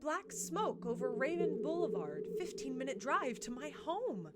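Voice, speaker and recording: A woman's voice: high-pitched, very animated, normal speed, voice pushed up. A woman voicing an outraged, frightened radio listener with dramatic, emphatic delivery. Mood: indignant, distraught. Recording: very noisy recording.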